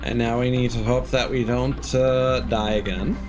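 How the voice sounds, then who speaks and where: Male game streamer, middle-pitched voice over clear music, exasperated and halting as he thinks aloud. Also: little pitch movement. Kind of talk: live gameplay commentary.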